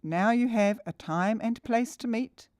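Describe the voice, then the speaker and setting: A woman's voice: a little high, measured pace, darkish tone, dry recording, clean studio sound. A woman reading scripted instructional narration for a training video.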